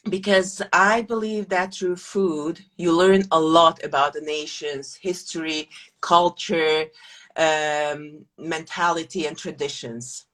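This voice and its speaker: A woman's voice: low voice, fairly even intonation, takes it slowly, fairly bright tone. A woman from Hungary, a guest in a casual interview, talking with many hesitant fillers and restarts. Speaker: guest, food tour company founder.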